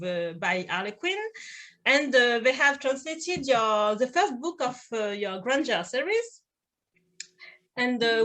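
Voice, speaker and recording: French-accented woman interviewer, lively high voice. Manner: hesitant, laughs self-consciously. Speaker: festival interviewer. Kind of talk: interview moderation.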